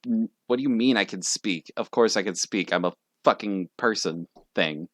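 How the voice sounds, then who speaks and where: A man's voice: lowish voice, moderate pace, a bit bright, no echo, lightly acted. A man voicing a crude, laid-back young male jackalope who was kicked out of his den, with a foul-mouthed way of talking. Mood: indignant.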